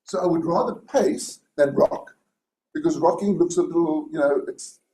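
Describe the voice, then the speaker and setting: A man with a loud booming voice, giving rambling spoken feedback on a speech at a club meeting. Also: normal speed. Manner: rambling, many restarts and fillers. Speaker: speech evaluator. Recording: echoey room with faint hiss.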